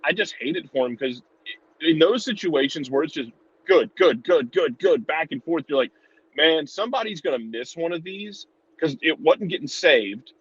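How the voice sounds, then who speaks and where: A man's voice: a little high, expressive, normal speed, fairly bright tone, breathy edge, dull audio. A man giving casual sports analysis in an informal, conversational way, repeating words for emphasis. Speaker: soccer show commentator.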